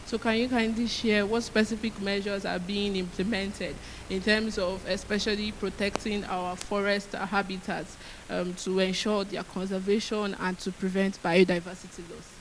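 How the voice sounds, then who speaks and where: A woman's voice: medium pitch, little pitch movement, normal speed, a little hiss or hum, rough recording. A woman moderating a conference panel, polite and a little hesitant as she puts a question to a guest. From Nigeria.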